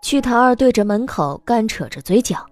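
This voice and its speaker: A woman's voice: on the high side, expressive, moderate pace, darkish tone, dry recording, soft background music. A woman narrating a scripted story as the narrator of an audio drama.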